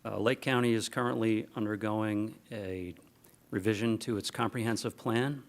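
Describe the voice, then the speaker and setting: A man who is a county planning director, giving a formal, measured staff introduction at a public meeting. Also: on the low side, steady tone.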